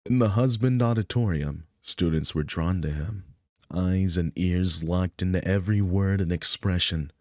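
A man's voice: sits low, normal speed, darkish tone, no echo, clean studio sound. A young man narrating a visual novel as a storyteller. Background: music.

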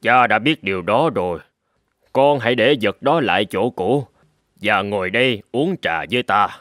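A man voicing a calm, stern old sword master father in a cartoon, speaking in a measured, authoritative way. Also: on the low side, no room sound, studio quality. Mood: calm, firm. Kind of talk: dubbed cartoon storytelling. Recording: loud music under the voice.

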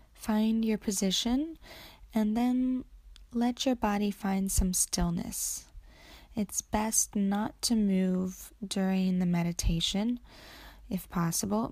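Slow, soothing woman guiding meditation. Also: medium pitch, a little mellow, no room sound. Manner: soothing, unhurried, breathy phrase endings. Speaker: yoga nidra meditation guide. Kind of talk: guided meditation narration.

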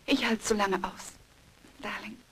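An actress playing a gentle, forbearing young wife with a breathy voice in a German horror audio drama. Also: female voice, mid-range voice, fairly even intonation, measured pace, rough recording. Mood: tender, consoling. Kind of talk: German horror radio play acting.

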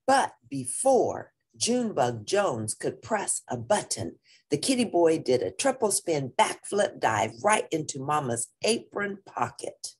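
Female storybook reader: unhurried, highly animated melody, expressive read-aloud for children. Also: husky.

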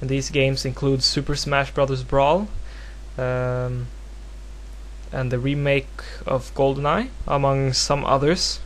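A man from Norway speaking English as a second language with a Norwegian accent, giving a casual, unscripted software tutorial in a hesitant, rambling, self-deprecating way. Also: medium pitch, little pitch movement, takes it slowly. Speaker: tutorial presenter. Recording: home recording with clear background noise and hum.

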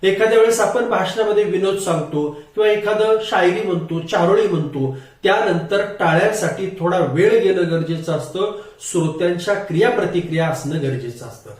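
A man giving a formal, explanatory lesson as a public speaking coach, in measured teacher-like phrasing. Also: sits high. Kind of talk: instructional lecture to camera. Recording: very echoey room, distant microphone.

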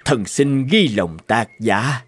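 An actor playing a poor but clever graveyard keeper in a cartoon, explaining patiently and respectfully. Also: male voice, medium pitch, animated, takes it slowly, fairly bright tone, a little hiss or hum. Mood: grateful. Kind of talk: dubbed cartoon fairy tale acting. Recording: music behind the voice.

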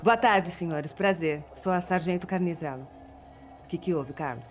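An actress playing a composed, businesslike police sergeant in an audio drama, formal and measured. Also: female voice, fairly low pitch, over loud music, close to an everyday voice. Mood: calm, official.

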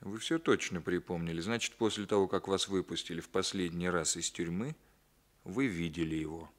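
Radio play lead: male police captain, played by an actor, lowish pitch, coolly polite, exact, shrewd interrogator. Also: close to an everyday voice. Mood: probing, ironic. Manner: measured, precise, ironically polite. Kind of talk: 1960s Soviet radio play acting, alternating narration and dialogue.

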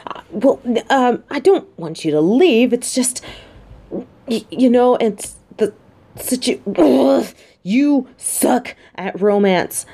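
A woman playing a female military bodyguard in a romantic audio roleplay, gruff but shy and secretly lovestruck, speaking softly and haltingly. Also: a little high, lively intonation, full character voice. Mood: flustered. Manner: halting, flustered, soft-spoken.

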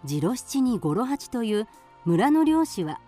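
A woman's voice: a little high, sing-song, unhurried, dark tone, soft background music. A woman reading scripted storybook narration for a radio drama.

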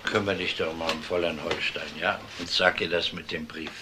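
An actor playing a calm police detective who asks short, probing questions in a crime radio drama. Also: male voice, sits low, fairly quick, noisy background, close to an everyday voice. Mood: businesslike. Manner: terse, questioning.

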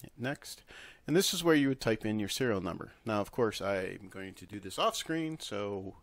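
A man presenting a casual software tutorial, talking informally through each step as he works at a computer. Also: lowish voice, expressive, normal speed, vocal fry, no echo. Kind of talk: casual screen-recorded tutorial.

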